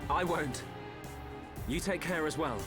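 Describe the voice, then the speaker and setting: A man's voice: fairly high pitch, a little slow, natural voice. An actor playing an earnest, determined young male hero in a video game. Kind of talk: video game cutscene acting. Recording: loud music behind the voice.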